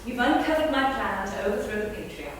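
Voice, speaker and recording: An actress playing a guarded, sharp-witted woman writer, with cool, dry retorts on stage. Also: a little high, animated, fast talker, a little hiss or hum, natural voice. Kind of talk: stage play performance. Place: theatre stage. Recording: echoey theatre stage from far off.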